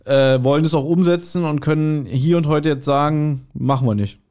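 A man's voice: a little high, moderate pace, a little mellow. A man of around forty co-hosting a podcast in casual chat, telling stories in a teasing, mock-indignant way. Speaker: podcast co-host.

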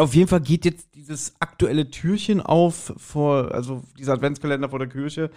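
A man's voice: fairly high pitch, darkish tone. A man co-hosting a podcast, chatting casually in a wry, jokey and blunt way about an audio drama. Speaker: podcast co-host.

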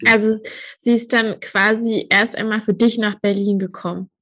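A woman's voice: mid-range voice, level tone, moderate pace, a bit bright, no room sound. A woman co-hosting an interview, putting in brief clarifying questions. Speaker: podcast co-host.

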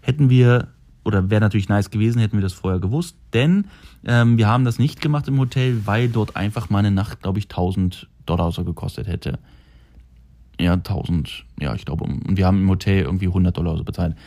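A man hosting his own podcast, chatting casually and loosely, often correcting himself and filling pauses. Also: on the low side, dark tone. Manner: rambling, self-correcting, frequent fillers. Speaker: podcast host. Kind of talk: casual solo podcast talk. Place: holiday rental in Florida.

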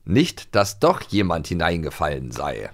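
Guy cohosting a playful podcast, pitch jumps all over, jokey, interrupts himself, hams up quotes. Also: huge pitch swings, unhurried. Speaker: podcast co-host. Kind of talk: playful casual chat, quiz.

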